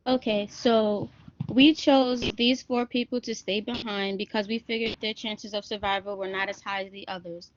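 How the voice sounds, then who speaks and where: A woman's voice: medium pitch, fairly even intonation, moderate pace, muffled recording, slight background noise. A young woman explaining her group's choices in a class presentation, with small slips. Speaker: student presenter.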